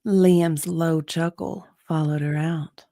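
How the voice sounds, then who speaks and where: A woman's voice: deep voice, fairly even intonation, takes it slowly, mellow, no room sound, studio quality. A woman narrating a romance story as a dramatic reading, in a sultry, suspenseful manner.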